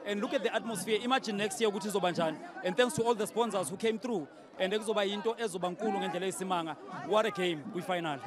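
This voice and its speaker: A man talking extremely fast in a cheerful post-match interview outdoors. His voice is high, and a crowd is clearly audible behind him. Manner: cheerful, mixes Zulu and English. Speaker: commentator playing in the match. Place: outdoor stadium pitchside.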